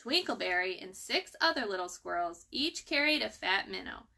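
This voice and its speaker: A woman with a bright, forward voice at a medium pitch reads a children's story aloud. Her delivery is expressive and animated, leaning into dramatic emphasis as a storyteller does. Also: normal speed, creaky, slight background noise.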